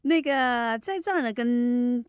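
A woman's voice: on the high side, slow pace, clean tone, no room sound, studio quality. A woman speaking as a teacher giving commentary, instructive and explanatory, repeating her key points. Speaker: Mandarin teacher and contest commentator.

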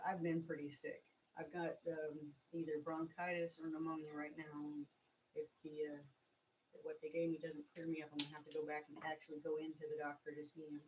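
A middle-aged woman from Texas with a scratchy voice from being sick, chatting casually as she demonstrates a craft project on a home livestream in a small craft room, filmed by a camera across her worktable. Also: sits low. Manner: chatty, rambling, stops to explain each step. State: scratchy voice from illness.

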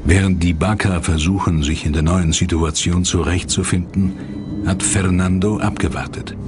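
A man's voice: sits low, fairly even intonation, moderate pace, noisy background. A man reading scripted documentary narration as the narrator. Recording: music behind the voice. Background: music.

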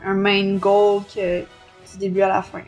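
A woman's voice: mid-range voice, completely flat delivery, measured pace, darkish tone, soft background music. A woman with a Québécois French accent co-hosting a podcast and giving a casual book review.

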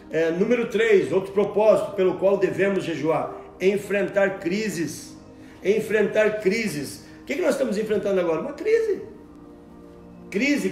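A man in his forties preaching a sermon from a table at home, animated and repeating words as he searches for them. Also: high voice, echoey room. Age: 40s. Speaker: pastor. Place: room at home, at a table with Bibles.

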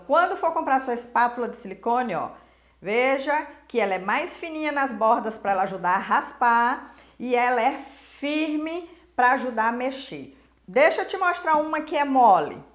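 A Brazilian woman hosting a casual cooking lesson, chatty and emphatic as she talks straight to the viewer. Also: a little high. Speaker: cooking show host. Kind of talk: casual instructional talk to camera. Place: small indoor kitchen. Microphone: lapel mic. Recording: roomy kitchen echo.

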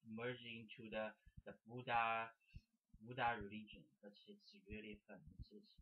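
A young man from Shanghai, a native Mandarin speaker, rambles casually in halting, repetitive broken English with a Chinese accent. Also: medium pitch. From Shanghai, China. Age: young adult. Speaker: amateur painter demonstrating his work. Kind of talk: casual rambling demonstration to camera. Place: small indoor room. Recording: dull lo-fi webcam sound.

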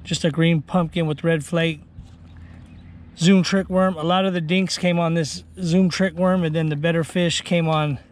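A man's voice: a little high, moderate pace. A man vlogging casually from a small fishing boat, talking through his baits in an offhand way, recorded on an action camera outdoors. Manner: relaxed, offhand bait rundown with fillers.

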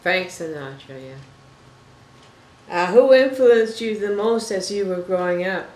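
A woman's voice: mid-range voice, a little slow, darkish tone. An older woman chats as an interview host in a roomy, echoing living room. She takes her time with each question. Her manner is folksy and familiar, with pet names dropped in. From Toronto, Canada. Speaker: talk show host, interviewer. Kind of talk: casual interview chat. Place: small living room, indoors.

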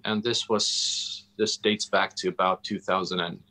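A man in his forties with a Swedish accent, speaking haltingly and deliberately as he answers interview questions, often searching for words. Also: low voice, steady tone, pushed and bright, poor audio. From Sweden. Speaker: interview guest, coffee roastery founder. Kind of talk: interview answers on a video call. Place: indoor room, on a video call. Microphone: video call microphone.

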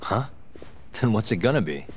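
An actor playing a cool, cynical young private investigator in a video game, with a laid-back, wry delivery. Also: male voice, lowish voice, sing-song, moderate pace, a little hiss or hum. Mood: sardonic. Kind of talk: English game voice acting.

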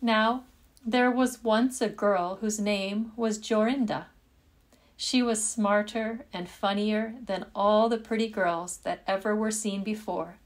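A woman reads a fairytale as a storyteller. She takes it slowly and deliberately, with measured phrasing and a mid-range pitch, as in scripted storybook narration. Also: fairly even intonation.

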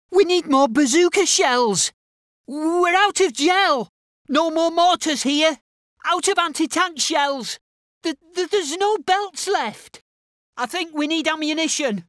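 A timid infantryman in a war game, with a notably high voice that swings up and down. He sounds unsure of himself and hesitates politely, taking his words slowly. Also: fairly bright tone, dry recording, clean studio sound, full character voice. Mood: worried. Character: timid, unsure British infantry soldier, eager to obey. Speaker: infantry soldier unit voice. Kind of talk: video game unit barks.

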